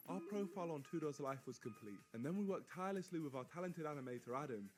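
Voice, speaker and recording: A young man hosting a prank video, telling the story in a brisk, playful, conspiratorial way. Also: fairly high pitch, animated, faint music behind. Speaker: prank video host narrating. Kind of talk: scripted vlog narration.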